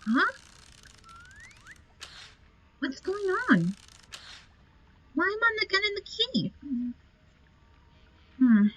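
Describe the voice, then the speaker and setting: A woman's voice: very high voice, big pitch swings, drawn-out words, long pauses, fairly bright tone. A woman giving casual commentary as she plays a game, thinking aloud in short, musing remarks. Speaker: gamer recording a playthrough. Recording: muffled lo-fi game capture. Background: game music.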